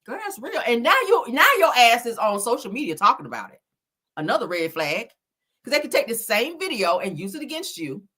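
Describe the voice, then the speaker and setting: A woman giving animated online reaction commentary in a blunt, scolding, emphatic manner, swearing freely and repeating phrases for effect. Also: on the high side, fairly quick, husky. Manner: blunt, scolding, heavy profanity. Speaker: YouTuber commentating on celebrity and viral topics.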